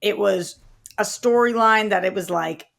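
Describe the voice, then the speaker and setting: A female co-host with an average-pitched voice. She speaks in casual, conversational banter, often in a questioning and challenging way, as on a relaxed discussion podcast. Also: unhurried, vocal fry.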